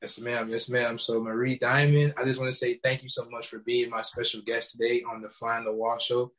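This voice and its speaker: A man's voice: mid-range voice, level tone, a little fast, fairly bright tone. A man hosting a casual interview podcast, eager and deferential, often answering "yes, ma'am". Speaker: podcast host.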